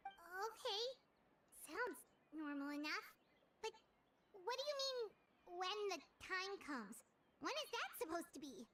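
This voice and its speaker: A tiny, childlike fairy companion from a video game. The voice is extremely high and swoops in an exclamatory, suspicious way. Clear noise sits around it. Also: female voice, very animated, takes it slowly, pauses often, heavily acted. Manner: speaks of herself in the third person, exclamatory. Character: small floating childlike fairy companion, squeaky, suspicious, always hungry.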